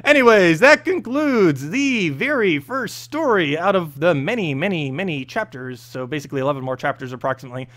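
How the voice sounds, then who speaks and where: A man's voice: fairly high pitch, lively intonation, brisk, few pauses, a bit bright. A man reading a scripted fantasy story aloud as narrator, expressively and with the odd stumble over words. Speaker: narrator and host of a lore reading series.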